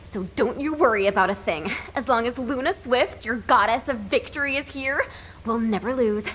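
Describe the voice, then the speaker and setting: A woman's voice: fairly high pitch, normal speed, a bit bright, a little hiss or hum, close to an everyday voice. An actress playing a flamboyant, boastful young woman in a game, brash and theatrical. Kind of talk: scripted game dialogue.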